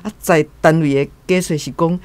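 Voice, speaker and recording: A woman's voice: low voice, fairly even intonation, normal speed, darkish tone, no echo. A woman with a Taiwanese accent casually retelling a story as a guest commentator, acting out quotes.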